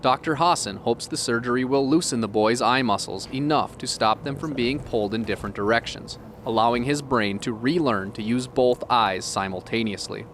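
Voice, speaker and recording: A man's voice: mid-range voice, moderate pace, few pauses, a bit bright, slight background noise. A man reading scripted narration for a local television news feature.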